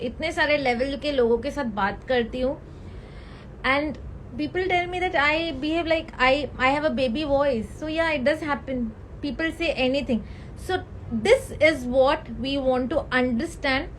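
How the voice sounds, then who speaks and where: High-voiced Indian woman hosting a talk. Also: a bit bright, a little hiss or hum, low-quality recording. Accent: Indian English. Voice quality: high, youthful voice others call a baby voice. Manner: switches between English and Hindi mid-sentence; earnest, asks the audience questions. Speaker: host of a live mental health talk. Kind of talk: casual talk to a live audience.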